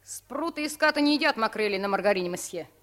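A woman's voice: medium pitch, expressive, quick pace, a bit bright, lightly acted. A woman playing a blunt, wary working-class fisher boy in a radio play. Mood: blunt, bossy. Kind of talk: 1950s Soviet radio play acting.

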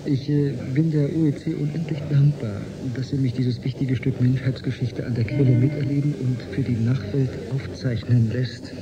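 A man's voice: medium pitch, steady tone, moderate pace, hardly any pauses, noisy background, natural voice. A man playing a courteous, idealistic historian with a flirtatious streak in a German radio drama, gallant and slightly pedantic. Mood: earnest, indulgent. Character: courteous, flirtatious, idealistic male historian aboard a space station.

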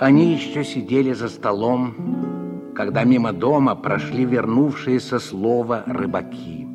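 A man narrating a literary radio drama in a measured, expressive storytelling manner. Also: medium pitch, lo-fi sound. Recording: husky-sounding old radio recording with music.

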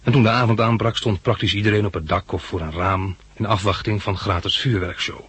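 A man's voice: lowish voice, fairly even intonation, brisk, fairly bright tone, slightly rough, no echo. An actor in a 1960s radio drama playing a dry, sardonic man who narrates and speaks as a patient. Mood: reflective narration. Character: bandaged male hospital patient and narrator, wry, sardonic.

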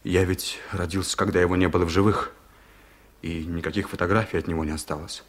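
An actor playing an earnest young village violinist in a 1970s radio drama, asking quick questions. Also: male voice, deep voice, steady tone. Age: young adult.

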